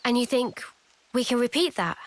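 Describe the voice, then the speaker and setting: A woman playing a sincere, determined young soldier in a video game, earnest and soft-hearted. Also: fairly high pitch, lively intonation, moderate pace, lightly acted. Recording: music behind.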